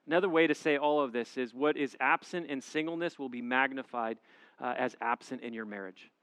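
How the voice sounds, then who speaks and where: A man's voice: medium pitch, fairly bright tone, no room sound. Emphatic preaching man, dry close sound. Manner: emphatic, repetitive preaching cadence. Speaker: pastor. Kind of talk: sermon. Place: church auditorium before a live congregation.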